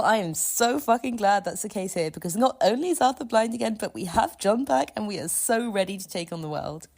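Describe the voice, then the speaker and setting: A woman's voice: fairly low pitch, a little mellow. A woman delivering prepared fan commentary in an emphatic, enthusiastic way, with casual swearing. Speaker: listener sending a voice submission.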